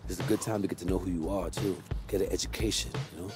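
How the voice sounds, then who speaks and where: A man's voice: deep voice, steady tone, racing, close to an everyday voice. A man playing an easygoing, encouraging mentor and basketball agent in a video game, talking in a streetwise, reassuring way. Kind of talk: cinematic game dialogue. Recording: music behind the voice.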